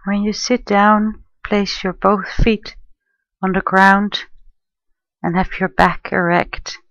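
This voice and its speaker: A woman leading a guided meditation in a gentle, soothing, unhurried manner, leaving long pauses between phrases. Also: medium pitch, level tone, no echo, muffled recording. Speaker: meditation guide, life coach and therapist.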